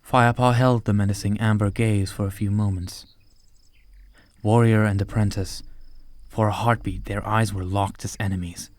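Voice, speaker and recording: A man's voice: on the low side, fairly even intonation, normal speed. A man reading scripted audiobook narration as a storyteller.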